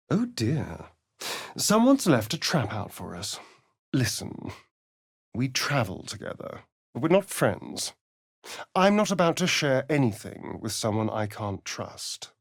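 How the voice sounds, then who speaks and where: A man's voice: medium pitch, gravelly, slightly rough, exaggerated voice. An actor playing a sardonic, flirtatious male vampire spawn elf in a video game, with a theatrical, teasing drawl.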